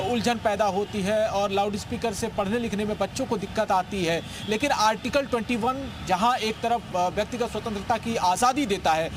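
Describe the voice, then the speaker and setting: A man working as a field reporter, giving a live, extemporised news report from a city roadside. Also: high-pitched. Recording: noisy outdoor street with traffic. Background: traffic and street noise.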